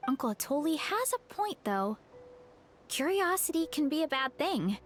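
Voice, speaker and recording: Casting: sweet, earnest young game heroine, high gentle voice, faint music underneath. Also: female voice, big pitch swings, normal speed. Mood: hesitant, earnest. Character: earnest, cheerful young woman who loves food and her tribe. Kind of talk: video game voice acting.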